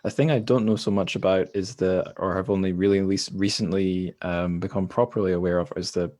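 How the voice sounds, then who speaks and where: A man's voice: low-pitched, fairly even intonation, a little fast, a little mellow, no echo, clean studio sound. A Scottish man hosting a podcast interview in casual chat, rambling with self-deprecating asides. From Scotland. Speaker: podcast host.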